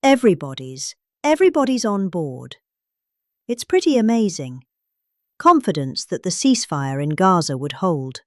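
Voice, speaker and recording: A middle-aged British woman reading out a rambling political speech theatrically, with odd pauses and exaggerated emphasis. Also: lowish voice, very animated, dry recording, clean studio sound.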